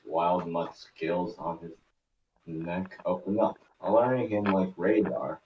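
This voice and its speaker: Low-voiced man narrating, roomy and distant. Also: highs cut off. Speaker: narrator of a fan table read. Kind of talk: amateur script narration. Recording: roomy, distant mic with faint noise.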